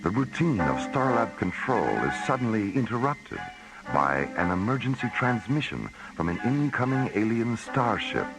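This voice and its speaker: A man narrating a science-fiction radio drama as a solemn announcer, in a portentous, measured delivery. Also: medium pitch, big pitch swings, poor audio. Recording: radio drama with music underneath.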